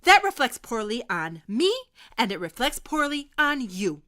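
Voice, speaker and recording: A woman playing a stern but caring American high school history teacher in an audio drama, firm and authoritative. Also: very animated, sharp tone, full character voice. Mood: stern scolding. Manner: firm, scolding, measured authority.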